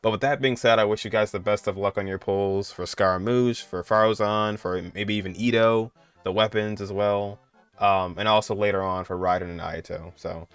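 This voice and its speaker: A man in his twenties reacts casually to a game trailer in an informal, chatty style. His voice is fairly low and smooth, with some music playing behind him. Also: steady tone, moderate pace, a bit bright.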